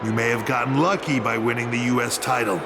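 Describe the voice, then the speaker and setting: An actor playing an arrogant, menacing wrestling boss in a video game, laying down the law in a condescending, commanding way. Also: male voice, mid-range voice, steady tone, fairly quick, noisy background, natural voice.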